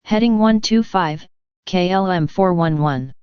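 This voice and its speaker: A woman's voice: on the low side, measured pace, clear, resonant tone, no echo. A woman giving clipped aviation phraseology over an air traffic radio transmission. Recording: dull, band-limited air traffic radio.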